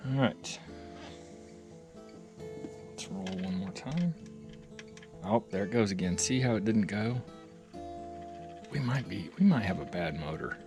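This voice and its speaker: A man with a deep, low-pitched voice talks his way through a hands-on repair job. He is casual and folksy, rambling as he thinks aloud like a hobbyist video host. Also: very animated, a little slow, long pauses, over music. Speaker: audio repair vlogger.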